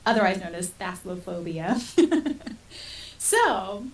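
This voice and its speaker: A woman's voice: fairly low pitch, slow. A woman in her thirties chatting casually as a podcast host, telling personal stories in a colloquial, self-deprecating way. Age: 30s. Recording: distant mic with faint hiss.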